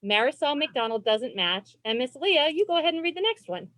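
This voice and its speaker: A woman reading a children's storybook aloud as host, phrasing expressively and deliberately for young listeners on a video call. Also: sits high, big pitch swings, fairly bright tone, no room sound. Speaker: librarian and read-aloud host.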